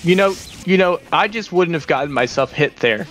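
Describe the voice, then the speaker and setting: A man's voice: a little high, lively intonation, moderate pace, fairly bright tone. A man voicing a nerdy male tech sidekick in an improvised comedy fan dub, scolding and swearing. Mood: mocking.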